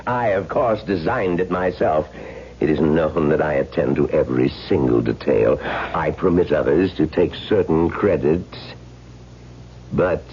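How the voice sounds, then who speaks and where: An actor playing a pompous, self-important film director who speaks dramatically and repeats himself, in a 1970s radio drama. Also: male voice, a bass voice, measured pace, lo-fi sound. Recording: hissy, band-limited vintage radio recording.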